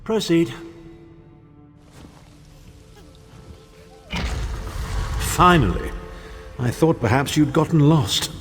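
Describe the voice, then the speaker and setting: An actor playing a haughty, scheming imperial chancellor with a pompous, disdainful manner in a fantasy game. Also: male voice, a little high, animated, a little slow, a bit bright, slight background noise. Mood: impatient. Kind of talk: theatrical fantasy game dialogue. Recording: long reverb, music behind.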